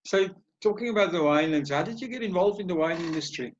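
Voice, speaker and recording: A man's voice: high voice, expressive, fast, muffled recording. A man hosting an interview, asking short, friendly questions. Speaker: interviewer, host.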